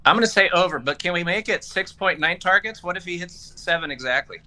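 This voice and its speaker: A man's voice: sits high, brisk, pushed and bright. A man chatting casually as a panelist on a show, giving his picks. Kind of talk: casual chat.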